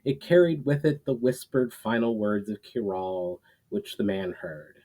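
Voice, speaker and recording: Mellow-voiced man reading his fantasy story. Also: lowish voice, steady tone, unhurried, rough recording. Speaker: author reading own fantasy novel aloud. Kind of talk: casual intro, then dramatic storytelling read aloud.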